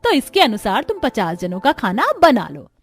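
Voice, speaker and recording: A woman voicing a bossy, demanding middle-aged mother-in-law in an animated family story, brisk and dismissive. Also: on the high side.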